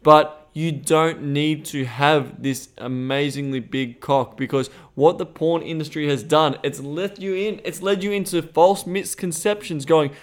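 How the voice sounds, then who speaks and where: Australian guy, co-host, a bit high-voiced, blunt, swears a lot, animated casual chat. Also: a little slow, few pauses. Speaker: podcast co-host. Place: Thailand.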